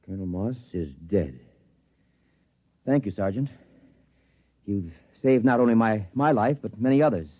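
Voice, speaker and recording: A man's voice: on the low side, lively intonation, unhurried, long pauses. A man playing an earnest, humane professor with an impassioned manner, in a 1950s radio drama style. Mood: solemn, tender. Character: earnest, humane male professor pleading for peace. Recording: dull old radio recording.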